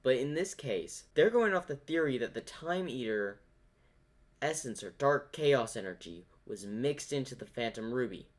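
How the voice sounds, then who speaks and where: A man's voice: mid-range voice, animated, normal speed. Male reaction host, mid-range voice, casual fan commentary with a rambling, theorizing manner.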